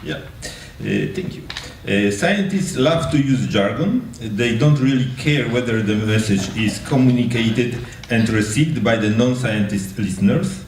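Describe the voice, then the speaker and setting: A man's voice: mid-range voice, big pitch swings, unhurried, distant mic. A man reading a prepared text aloud at a live event. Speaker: university lecturer. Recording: echoey room from afar, faint noise.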